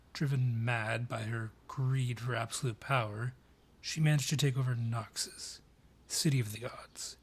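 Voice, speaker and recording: A man acts out a sardonic forest dryad in a fantasy audio drama. He is gruff and world-weary, with a breathy, husky voice. He takes his time over every phrase, like a reluctant keeper of old lore. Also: medium pitch. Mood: grave, storytelling. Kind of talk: fantasy audio roleplay acting.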